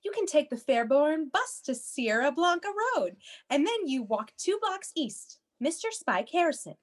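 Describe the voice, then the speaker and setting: Prim female dispatcher, acted by a woman, high pitched, very animated, fussy and reassuring, radio play. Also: moderate pace. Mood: brisk, businesslike. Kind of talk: comedic radio play acting.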